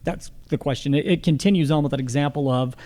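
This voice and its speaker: A man's voice: a little high, fast, warm tone. A man with an American accent moderating a candidate forum and reading out questions.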